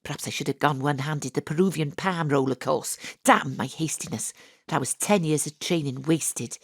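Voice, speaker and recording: An actress playing a wry, deadpan, sardonic female private detective in a noir audio drama. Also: deep voice, normal speed, breathy edge, no echo, clean studio sound. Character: hard-boiled wisecracking female private detective, sardonic and impatient. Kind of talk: first-person noir narration in an audio drama.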